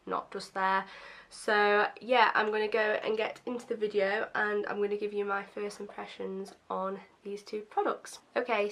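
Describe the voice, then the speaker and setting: A young woman with a slightly croaky voice, casually chatting through a makeup first-impressions video with lots of ums and self-deprecating asides. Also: medium pitch, fairly bright tone. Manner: chatty and self-deprecating, many fillers like um and obviously. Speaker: beauty vlogger.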